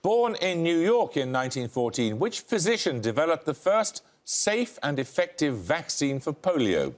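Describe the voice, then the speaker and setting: Male quiz host, dry wit, animated pitch, studio read. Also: normal speed. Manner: dry, wry delivery with lively intonation. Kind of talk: scripted quiz presenting. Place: television studio.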